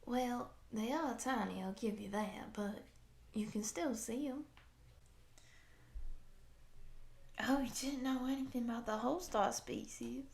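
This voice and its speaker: A woman voicing a tall, gentle, friendly cowgirl monster in her thirties, soft, affectionate and apologetic, in an intimate audio roleplay. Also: fairly high pitch, normal speed, creaky. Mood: friendly, explaining. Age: 30s. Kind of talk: intimate roleplay addressed to the listener.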